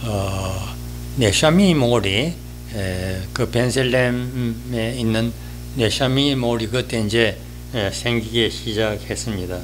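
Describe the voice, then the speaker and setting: Slow, halting elderly man. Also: mid-range voice, slight background noise. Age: 80s. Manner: halting, hesitant, with frequent drawn-out fillers. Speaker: newspaper-style columnist giving a talk. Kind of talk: reminiscing monologue column. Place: indoors, small office or studio, seated.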